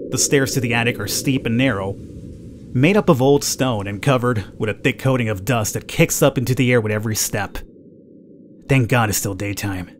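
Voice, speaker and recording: A man hosting a gaming video, reading a horror visual novel aloud as expressive, dramatic first-person narration. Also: mid-range voice. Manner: expressive, acts out the heroine's thoughts. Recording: clear background noise, game ambience.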